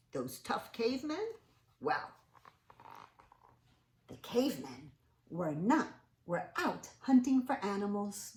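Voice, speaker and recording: A woman reading a picture book aloud in an animated, sing-song way, pausing to put questions to young listeners. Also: medium pitch. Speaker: children's read-aloud storyteller. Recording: roomy, echoing indoor sound.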